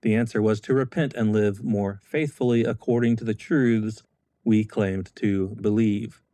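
A middle-aged American man, a former Marine and legislator, giving a measured, solemn scripted speech. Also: lowish voice, a little mellow, no room sound. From United States.

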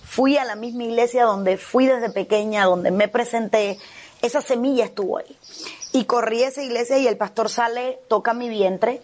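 A woman's voice: a little high, moderate pace, darkish tone, a little hiss or hum. A middle-aged woman pastor from Cuba, with a Cuban Spanish accent, telling her testimony with fervent earnestness in a TV interview.

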